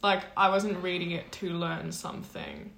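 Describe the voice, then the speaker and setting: A woman's voice: fairly low pitch, huge pitch swings, normal speed, gravelly, fairly bright tone. A teenage girl from Australia co-hosting a podcast, with an easygoing, wry way of talking. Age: teens. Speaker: podcast co-host. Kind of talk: casual chat. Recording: echoey room.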